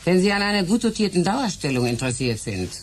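A woman's voice: fairly low pitch, sing-song, quick pace, background noise. A woman playing a hard-nosed, mercenary music manager in a sci-fi radio drama, curt and sardonic. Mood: businesslike.

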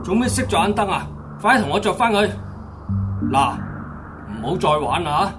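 A man's voice: sits high, very animated, normal speed. A man playing an irritable, blustering sports coach who talks indignantly, in a Hong Kong Cantonese radio drama. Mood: angry. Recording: music behind the voice.

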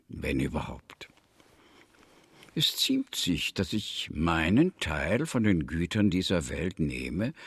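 A man's voice: sits low, breathy edge, no room sound, clean studio sound, full character voice. An actor playing a talkative, pious old villager grown rich, telling his story expansively in a radio drama. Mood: solemn. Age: older.

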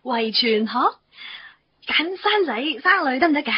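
A sarcastic young woman in radio drama style. Her voice is high and very lively in pitch, set on a muffled recording. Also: measured pace. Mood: scornful. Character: sarcastic, demanding young girlfriend with a cutting tongue. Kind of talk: Cantonese radio drama acting. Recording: dull recording under loud music.

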